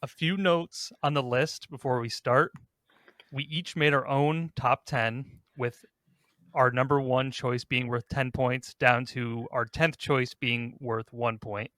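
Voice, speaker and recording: Male podcast host, casual discussion, crisp bright edge, very dry sound. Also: mid-range voice, fairly even intonation, slow pace, dry recording.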